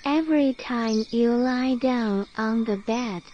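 Very slow woman guiding a sleep meditation. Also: a little high, a little mellow, lo-fi sound, a little hiss or hum. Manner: slow short phrases with long pauses. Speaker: guided meditation narrator. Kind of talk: guided sleep meditation narration.

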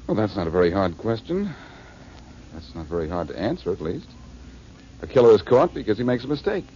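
A deep-voiced, self-assured detective with a lively, swinging delivery. He talks with easy cocky confidence. The sound is a muffled old radio broadcast with faint hiss. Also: brisk. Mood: confident. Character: tough, wisecracking male detective. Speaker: lead detective. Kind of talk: 1940s radio acting.

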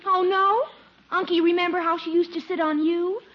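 A woman's voice: extremely high pitch, fairly even intonation, normal speed. A woman playing an exasperated, complaining teenage girl in a 1940s radio comedy. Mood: indignant. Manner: girlish, complaining. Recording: dull, slightly noisy old radio.

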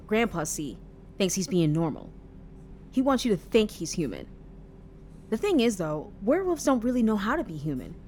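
Chatty, paranoid young woman, earnest about odd notions, very lively pitch, audio drama over faint rumbling noise. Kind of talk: audio drama acting, conversational.

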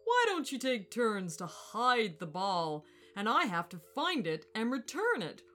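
A woman voicing a huge, smug, boastful male elephant in a children's storybook, booming and condescending. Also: very animated, measured pace, bright, forceful tone, heavily acted. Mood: pompous.

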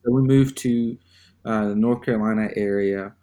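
Podcast guest from Zimbabwe: low-pitched man, unhurried, reflective storyteller. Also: steady tone, warm tone. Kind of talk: personal storytelling.

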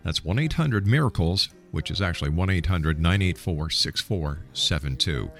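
A man's voice: deep voice, animated, normal speed, gravelly, fairly bright tone, faint music behind. A man hosting a radio show, interviewing and announcing to listeners.

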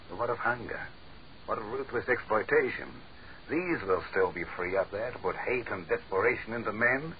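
Deep-voiced sneering tyrant facing death, played by a man, old-time radio drama, faint hiss. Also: lightly acted. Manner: sneering, commanding, measured. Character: doomed male dictator, contemptuous and cold. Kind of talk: 1940s radio drama acting. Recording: muffled 1940s radio broadcast.

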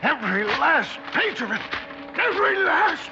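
A man's voice: very high-pitched, sing-song, a little slow, exaggerated voice. A man playing a haunted witness who recounts a terrifying encounter with tense drama in 1960s radio style. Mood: shaken, despairing. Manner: tense, dramatic first-person storytelling. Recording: muffled old radio recording with music.

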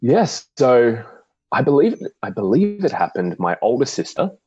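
A young Australian man with an Australian accent, chatting as a podcast guest in a relaxed way with dry humor. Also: mid-range voice, measured pace, slightly rough, dry recording, highs cut off. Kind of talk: casual interview.

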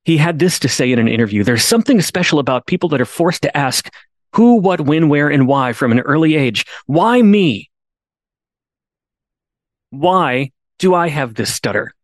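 A man's voice: a little high, expressive, moderate pace, no room sound, studio quality. A man hosting a podcast, telling a story in an earnest way, restarting on repeated words and rising to emphatic pleas. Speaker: podcast host. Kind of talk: storytelling monologue.

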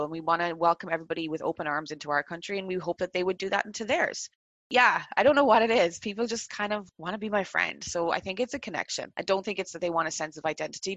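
A woman with an Irish accent, chatting on a video call as a guest, chatty and animated, full of asides and fillers. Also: on the low side, no room sound. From Ireland. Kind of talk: casual video call conversation.

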